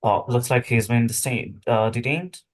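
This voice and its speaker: Bumbling male agent, read by an actor, hesitant and flustered, medium pitch. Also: moderate pace, lightly acted. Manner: hesitant, stammering. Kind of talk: table read of a film script.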